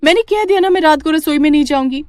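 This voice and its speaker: A woman's voice: high-pitched, fast, a little mellow, no room sound, voice pushed up, lightly acted. A woman voicing a whiny, protesting young daughter-in-law who argues to get out of kitchen work in a family story. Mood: indignant. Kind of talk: Hindi family story dialogue.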